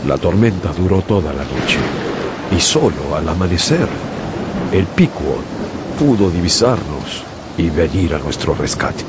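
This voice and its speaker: A man's voice: sits low, big pitch swings, a little slow, heavy background noise. A man narrating a sea adventure in a radio drama, telling it earnestly as his own memory. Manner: earnest storytelling, recalling the past. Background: dramatic music.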